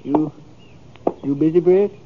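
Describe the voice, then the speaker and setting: An actor playing a downhearted small farmer in a radio western, with an American accent and a gravelly, halting voice. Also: male voice, fairly high pitch, noisy background, close to an everyday voice. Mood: weary. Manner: halting, folksy. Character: downhearted, hesitant small farmer of the Old West. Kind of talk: old-time radio western acting. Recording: thin, phone-like old radio recording.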